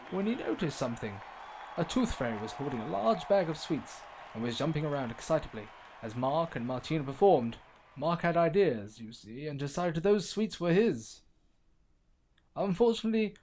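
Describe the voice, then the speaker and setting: A man's voice: fairly high pitch, background noise, close to an everyday voice. A man voicing an earnest circus character telling a story in a children's animation. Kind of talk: scripted animated story dialogue.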